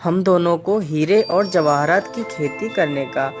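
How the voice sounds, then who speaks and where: A cunning male thief from a cartoon moral tale, played by a voice performer. He speaks in a measured, persuasive way, sure of his own scheme. Faint music plays behind the voice. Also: high voice. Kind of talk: animated Hindi moral-story dialogue.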